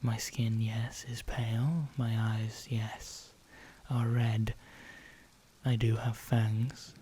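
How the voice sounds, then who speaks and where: A man playing an ancient, condescending vampire in an ASMR roleplay, speaking softly and deliberately, often slipping into a whisper, with calm menace. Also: fairly low pitch, slight background noise. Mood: calm, menacing. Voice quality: soft, breathy, often dropping into whisper. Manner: slow, deliberate, pausing, formal.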